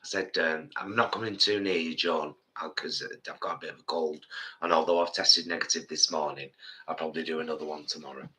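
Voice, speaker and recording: Low bright-toned guy in his 50s, got a cold, rambles on a live stream. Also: brisk, poor audio. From England. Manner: rambling storyteller, animated. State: has a cold, a bit bunged up. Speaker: co-host. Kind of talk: casual live stream chat.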